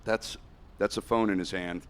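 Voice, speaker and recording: An actor playing an investigator's uneasy partner at a crime scene, in naturalistic audio drama dialogue. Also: male voice, low-pitched, fairly even intonation, moderate pace, a bit bright, slight background noise. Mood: uneasy, shocked.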